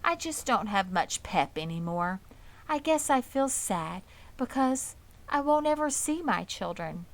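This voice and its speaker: A woman voicing a wise, dignified, ageing female spider in a storybook, gentle, weary and composed, with slightly formal speech. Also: on the high side, very animated, unhurried. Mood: sad, weary.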